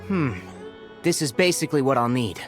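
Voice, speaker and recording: A man playing a calm, analytical young scholar in a video game, precise and academic in manner. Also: medium pitch, moderate pace, soft background music, close to an everyday voice. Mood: thoughtful. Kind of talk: video game character acting.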